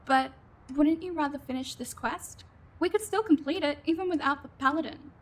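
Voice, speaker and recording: A woman's voice: sits high, normal speed, lightly acted. A woman acting a sympathetic, supportive female friend in an audio drama, in a casual conversational way. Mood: gentle urging. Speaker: voice actor in an amateur audio drama. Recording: faint background noise.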